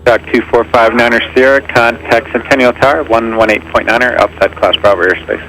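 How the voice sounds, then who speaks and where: A man's voice: medium pitch, steady tone, a little fast. Male controller rattling off terse radio instructions at speed, slight background noise on the transmission. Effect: aviation radio. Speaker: air traffic controller. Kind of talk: clipped radio clearances.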